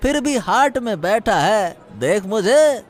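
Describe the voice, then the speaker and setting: A man's voice: sits high, sing-song, slow, exaggerated voice. A man voicing a boastful, scheming village fish seller in a cartoon story, with a taunting manner. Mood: mocking. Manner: mocking, taunting.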